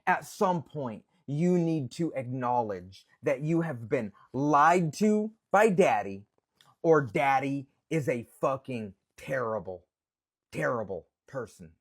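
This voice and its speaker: A man's voice: medium pitch, animated, slow pace, husky. A man delivering a blunt, mocking political rant straight to his audience. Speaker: social media political commentator. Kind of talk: opinionated rant to camera.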